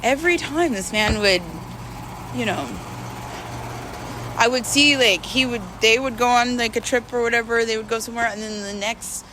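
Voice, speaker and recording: A woman talking in a casual, confessional monologue, candid and halting, repeating words for emphasis. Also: on the high side, background noise. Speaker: vlogger.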